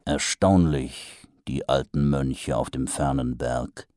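A man voicing a weary, gloomy male watch captain who speaks slowly with dry sarcasm. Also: a bass voice, steady tone, a little mellow, dry recording, voice pushed down. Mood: dry skeptical. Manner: slow, dry and sardonic.

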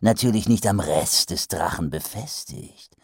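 A man voicing a pushy, fast-talking male street hawker with a wheedling sales patter that sinks into hushed whispers. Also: sits low, expressive, breathy edge, no room sound, studio quality, exaggerated voice. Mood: conspiratorial sales pitch.

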